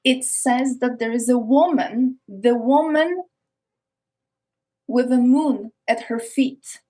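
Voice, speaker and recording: A woman's voice: a little high, slow, mellow. A woman from Eastern Europe with an Eastern European accent, speaking as a guest on a podcast in an earnest way, often restarting and repeating words. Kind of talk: casual interview talk. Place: Arctic north of Norway.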